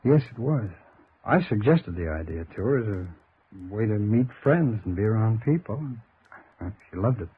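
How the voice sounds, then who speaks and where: A man's voice: on the low side, expressive, moderate pace, breathy edge. A male actor playing a dejected, polite nephew in a 1950s radio drama, earnest and subdued. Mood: uneasy, earnest. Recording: tinny, noisy vintage radio recording.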